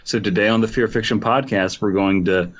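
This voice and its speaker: A low-pitched man speaks as a podcast host in a casual, conversational way. His delivery is sardonic and mock-serious. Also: fairly even intonation, fairly quick, lo-fi sound. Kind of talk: casual comedic podcast talk.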